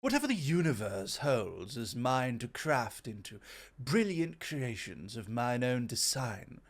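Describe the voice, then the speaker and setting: A man playing a proud, courtly immortal god of innovation in an ASMR roleplay, with formal, gently teasing speech. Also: medium pitch, big pitch swings, a little slow.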